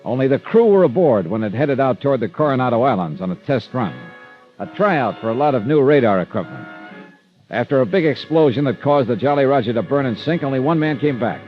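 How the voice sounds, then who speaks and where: A man acting a hard-boiled American insurance investigator from a 1950s radio drama. His delivery is wry, clipped and very animated in a medium-pitched voice. The recording is muffled vintage radio with music behind it. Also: brisk, lightly acted. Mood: wry narration. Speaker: lead actor and narrator.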